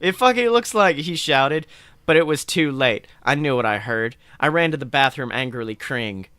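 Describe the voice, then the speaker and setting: A man's voice: medium pitch, moderate pace, pushed and bright, no room sound. A man co-hosting a podcast, giving a playful, mock-dramatic reading of a story with sardonic asides. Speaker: podcast co-host.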